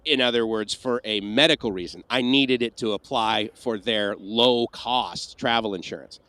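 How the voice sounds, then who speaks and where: A man's voice: mid-range voice, moderate pace, sharp tone, no echo. A man co-hosting a livestream Q&A, chatting casually and rambling with asides and restarts. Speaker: livestream co-host. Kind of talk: casual Q&A chat.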